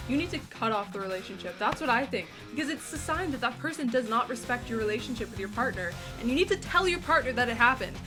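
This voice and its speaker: A woman's voice: a little high, expressive, fast, hardly any pauses, over music. A woman hosting a reaction video, speaking casually and emphatically as she gives her opinions. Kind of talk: casual reaction commentary to camera.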